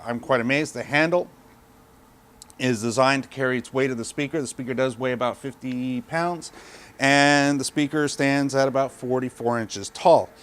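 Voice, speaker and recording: A man giving an unscripted product review in a plain-spoken, informal, matter-of-fact way, speaking into a body-worn mic. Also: mid-range voice. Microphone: body pack mic.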